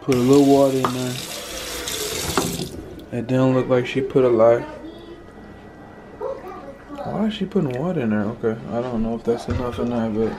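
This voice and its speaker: Confused american guy mumbling to his vlog, repeats himself, loud kitchen noise behind him. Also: medium pitch, normal speed, long pauses. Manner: muttering, bewildered, repeats himself. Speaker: amateur vlogger cooking at home. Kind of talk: casual vlog chat. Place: small home kitchen, indoors. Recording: kitchen clatter and sizzling noise.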